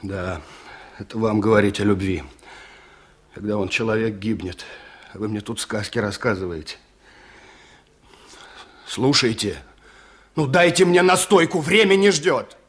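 A man's voice: fairly low pitch, animated, measured pace, pauses often, a bit bright. An actor in a Soviet radio play, playing a nervous, thin village foreman who complains with agitated sarcasm. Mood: bitter, pleading.

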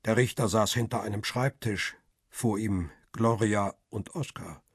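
A wary, world-weary photographer in a radio crime drama. His voice is low and heavily husky, recorded close and dry. He speaks in terse, reflective phrases as he tells his own story. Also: measured pace, no echo, exaggerated voice. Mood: uneasy. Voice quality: husky, rough. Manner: reflective, terse first-person narration.

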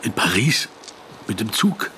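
A man's voice: lowish voice, big pitch swings, a little slow, sharp tone, slight background noise, exaggerated voice. An actor playing a meek, flustered writer in a radio play, hesitant and stammering as he tries to placate. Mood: puzzled. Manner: apologetic, hesitant, stammers and repeats words. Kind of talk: German radio play dialogue.

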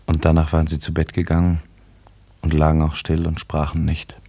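A man with an extremely low, husky voice narrates a literary radio play. His storytelling is measured and dry, fully scripted. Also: completely flat delivery, warm tone.

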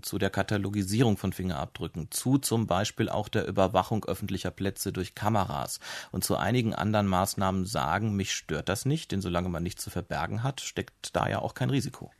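A man's voice: on the low side, brisk, no echo. A man working as a radio interviewer, building long, conversational questions with frank criticism.

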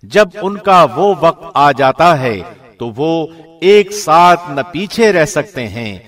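A man giving a formal, measured and solemn scripted reading of a scripture translation, as a radio presenter would. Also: a little high, lively intonation, a bit bright.

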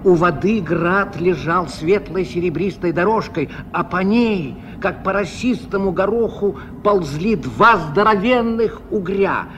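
Higher-voiced man narrating a radio drama. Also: measured pace, hardly any pauses, fairly bright tone. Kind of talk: dramatic literary narration, 1970s Soviet radio. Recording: dull, faintly noisy old radio recording.

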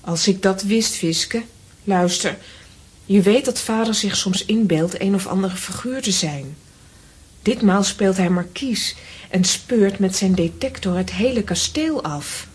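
An actress playing a composed young lady of a castle in a children's audio drama, soothing and husky. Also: mid-range voice, measured pace. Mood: composed, placating.

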